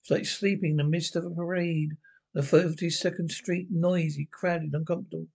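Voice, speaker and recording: A man playing a mocking male alter ego that speaks in a sly, drawn-out, taunting way. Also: a little high, flat delivery, creaky, darkish tone. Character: mocking male inner voice, smug and knowing.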